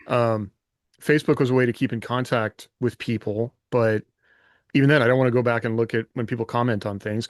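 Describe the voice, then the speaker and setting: A man's voice: mid-range voice, no echo, clean studio sound. A man talking casually as a co-host on a writers' podcast, sharing his own experience. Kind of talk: casual panel chat.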